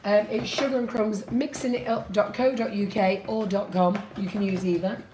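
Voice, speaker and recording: Chatty husky woman in echoey kitchen. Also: mid-range voice, fairly even intonation, noisy background. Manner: laughs a lot, brisk and chatty. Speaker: host of a live baking stream. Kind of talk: chatty live stream presenting. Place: large home-style kitchen. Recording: echoey room, kitchen clatter.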